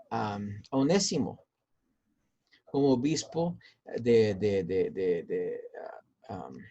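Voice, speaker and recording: A man's voice: mid-range voice, a little mellow. A man, a pastor and seminary teacher, answering interview questions in Spanish with an accent that mixes American and Mexican, hesitant with many fillers and repeats. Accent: mix of American and Mexican Spanish.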